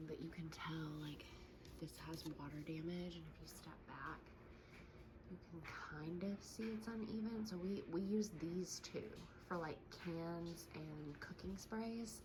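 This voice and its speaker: A woman in her thirties giving a casual handheld video tour at home, hushed and often whispering, exasperated and sweary. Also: fairly low pitch. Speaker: vlogger. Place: indoors at home, in a kitchen. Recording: noisy handheld clip.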